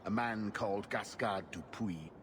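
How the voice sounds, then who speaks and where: A man's voice: on the low side, a little slow, close to an everyday voice. A man playing a dogged investigator in a video game, serious and urgent in his delivery. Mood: urgent, frustrated. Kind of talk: video game cutscene acting. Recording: noisy street ambience.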